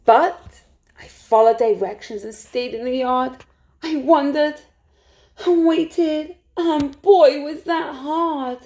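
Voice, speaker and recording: A woman storytime teacher reading a rhyming picture book aloud to young children in an expressive way. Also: sits high, slow pace. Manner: expressive, hushed suspense, asks listeners questions.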